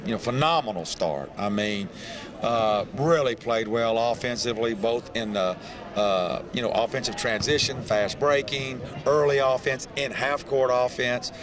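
An older man, a basketball head coach, answering post-game questions courtside in a calm, halting way with many restarts. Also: mid-range voice. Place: indoor basketball arena, courtside. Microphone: handheld reporter mic. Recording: crowd noise in a large arena. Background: arena crowd.

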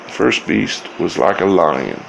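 Male host for informal scripture commentary; low-pitched, heavy vocal fry, halting phrasing, some background noise. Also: animated, low-quality recording. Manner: halting, broken phrases with long pauses. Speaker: video host.

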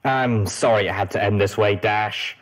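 A man voicing a gruff, sardonic female griffin mercenary in a storybook reading. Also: lowish voice, normal speed, lightly acted.

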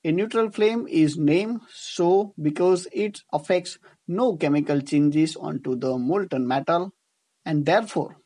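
Higher-voiced Indian man lecturing. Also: warm tone. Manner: didactic, repeats and rephrases points. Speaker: engineering tutor. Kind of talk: scripted lecture narration over slides.

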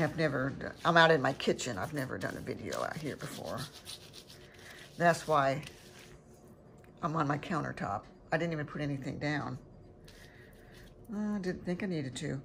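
Older lady doing a chatty craft tutorial, low voice, pretty noisy kitchen recording. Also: animated, moderate pace, pauses often. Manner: rambling, chatty, self-correcting. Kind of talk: casual craft demonstration, talking through steps. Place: home kitchen at a countertop. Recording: clearly noisy home recording with clicks.

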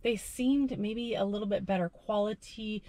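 A woman's voice: mid-range voice, steady tone, moderate pace, mellow, no room sound. A woman in her thirties, a delivery driver, chatting casually to camera from inside her parked car, talking with her hands. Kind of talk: casual vlog narration. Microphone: camera on the dashboard.

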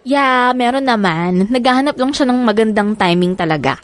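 A woman's voice: a little high, expressive, moderate pace, dry recording, close to an everyday voice. An actress playing a young Filipina single mother anxious about love, in a natural radio drama style. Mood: hesitant.

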